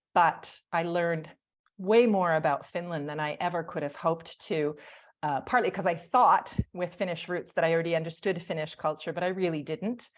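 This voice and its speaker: A middle-aged woman from Canada, an author chatting as the guest in a video call interview, talking with frequent ums and self-corrections. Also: deep voice. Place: indoor home room. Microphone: earphone headset on video call.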